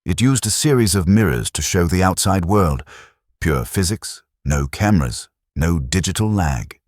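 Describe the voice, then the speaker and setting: A man narrating a science fiction audio drama with a deep, slow dramatic delivery. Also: lively intonation, a little mellow, no echo, clean studio sound.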